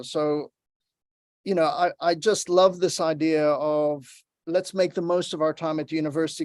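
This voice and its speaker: Earnest man presenting a workshop, higher voice. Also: darkish tone, dry recording. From Lebanon. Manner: earnest, encouraging, storytelling. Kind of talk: online workshop talk. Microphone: video call.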